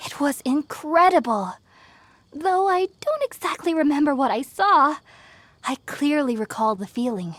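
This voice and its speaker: A woman playing an earnest, gentle young researcher in a video game, explaining things in a thoughtful, articulate way. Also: high voice, lively intonation, unhurried, no echo. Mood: wistful wonder. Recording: music behind the voice.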